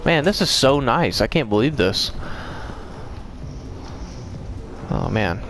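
A man playing an excitable, boastful young cousin who gushes in slang in a game roleplay. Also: medium pitch, normal speed, a little hiss or hum. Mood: awed. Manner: gushing, slangy.

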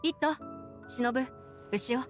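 A woman's voice: sits high, slow. A woman playing a caring, confident young woman in an anime game, with a friendly take-charge manner. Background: music.

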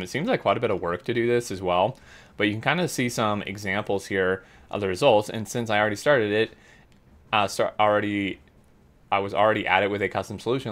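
Male YouTuber, low-ish voice, casual filler-heavy commentary on a dev blog. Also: a bit bright. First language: English. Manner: chatty, filler-heavy, upbeat. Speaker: gaming YouTuber.

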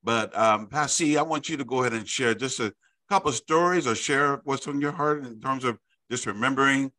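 A middle-aged man with an Oklahoma accent hosts an online church gathering, talking into a studio mic in his office. His voice is bright and forward, with a middle pitch. He halts and repeats words as he goes, and drops in amens often. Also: a little fast. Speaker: bishop hosting a weekly online Bible study. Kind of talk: casual livestream hosting. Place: indoor office, room with a framed picture. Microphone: studio microphone in front of him on a video call.

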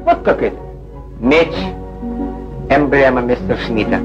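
A man's voice: mid-range voice, animated, measured pace, lo-fi sound. A man narrating a scripted 1940s Soviet newsreel in a declamatory, wry manner, on an old film soundtrack. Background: music.

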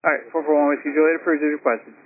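A man's voice: a little high, flat delivery, rapid-fire. Male air traffic controller over tinny radio. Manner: informal, accommodating. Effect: aviation radio. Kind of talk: radio communication. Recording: narrow tinny aviation radio transmission.

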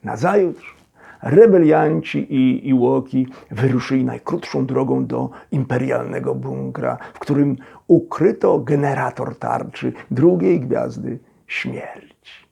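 Gravelly male storyteller, slow and dramatic. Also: medium pitch. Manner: expressive, theatrical, suspenseful phrasing with dramatic pauses. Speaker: storyteller, actor reading a children's audio story. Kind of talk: dramatic scripted storybook narration.

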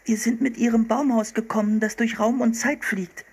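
A man voicing an excitable, curious young girl in a children's storybook. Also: very high-pitched, normal speed, vocal fry, breathy edge, voice pushed up, heavily acted. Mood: matter-of-fact.

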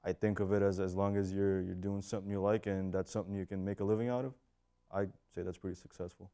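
A young man giving steady, reflective answers in a documentary interview in a studio. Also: low-pitched, quick pace. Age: young adult. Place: studio with plain backdrop.

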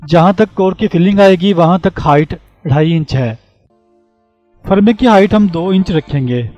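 High-pitched man narrating a how-to. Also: darkish tone, highs cut off. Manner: plain step-by-step explaining. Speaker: repair tutorial host. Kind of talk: scripted instructional voice-over. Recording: music behind the voice. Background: background music.

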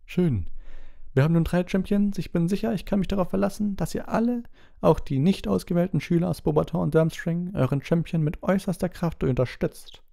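A man voicing an elderly wizard headmaster in an audiobook, speaking in a ceremonious, deliberate way. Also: sits high, gravelly, warm tone, pitched above the performer's own voice. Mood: pleased, encouraging. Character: elderly male wizard headmaster, solemn and pleased, announcing grandly. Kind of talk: audiobook character reading.

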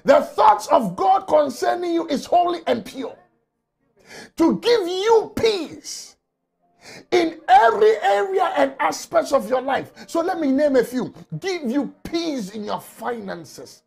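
A man preaching an emotional sermon from a small office on a lapel mic, often shouting and crying out. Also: extremely high pitch, expressive, slow pace, vocal fry. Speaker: pastor.

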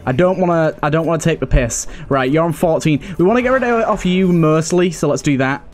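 A man's voice: fairly high pitch, a little fast. A British man giving casual let's play commentary, thinking aloud and addressing the characters on screen as he goes.

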